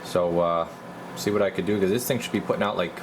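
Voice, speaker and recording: Deep gravelly man thinking aloud. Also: fairly even intonation, background noise. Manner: halting, muttering, thinking aloud. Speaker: radio repair technician and video host. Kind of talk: casual bench commentary while working. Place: indoor radio repair workbench.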